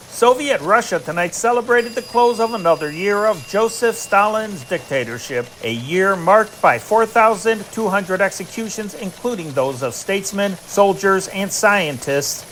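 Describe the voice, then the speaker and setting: A man reading scripted news dispatches as a correspondent, in a formal and measured delivery. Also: high voice, a bit bright, a little hiss or hum, low-quality recording. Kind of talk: scripted newsreading of old wartime dispatches.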